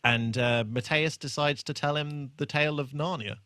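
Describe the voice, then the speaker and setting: A man's voice: on the high side, fairly even intonation, moderate pace, bright, forceful tone, no room sound. A British man co-hosting a podcast, chatting casually about television in a hesitant, joking and sweary way. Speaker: podcast co-host. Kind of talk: casual TV rewatch chat.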